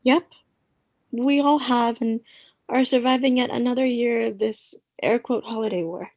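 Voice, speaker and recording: A woman's voice: on the high side, steady tone, normal speed, a little mellow. A woman playing a sassy, wry best friend in a radio play, talking in a slangy, conversational way. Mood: sassy, affectionate.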